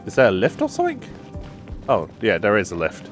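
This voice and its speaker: A man with a fairly low voice commentates on a game he is playing. His delivery is casual and offhand, like someone thinking aloud for viewers. Game music is clearly audible behind him. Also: wildly swooping pitch, a little fast. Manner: offhand reactions, muttering to himself. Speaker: gaming video host. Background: video game music and sounds.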